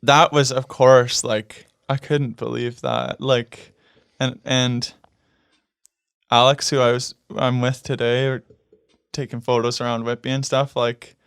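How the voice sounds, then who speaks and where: A man from Canada talks casually as an interview guest, rambling and leaning on fillers, laughing easily between thoughts. His voice is smooth and resonant, recorded dry and close in a studio. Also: mid-range voice, little pitch movement, unhurried, fairly bright tone, no echo. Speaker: guest musician. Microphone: studio microphone.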